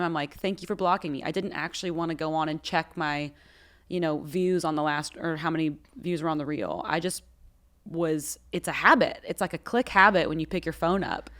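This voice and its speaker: A young woman with a slightly low voice, co-hosting a podcast in a studio. She talks in an animated, casual way and tells stories with lots of energy. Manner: chatty, animated storyteller. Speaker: podcast co-host, singer. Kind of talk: casual chat. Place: recording studio.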